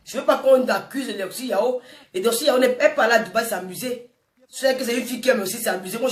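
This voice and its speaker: High-voiced African woman scolding, echoey room. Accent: Francophone African. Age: 40s. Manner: scolding, emphatic, repeats phrases for effect. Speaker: social media personality addressing viewers. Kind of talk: impassioned informal monologue to camera. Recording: echoey room, distant microphone.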